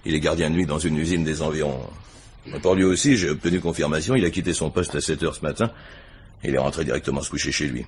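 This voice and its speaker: A man's voice: a bass voice, steady tone, fast, husky. An actor playing a diligent, chatty police inspector in a French radio crime drama, reporting to his superior with informal hesitations and wry asides.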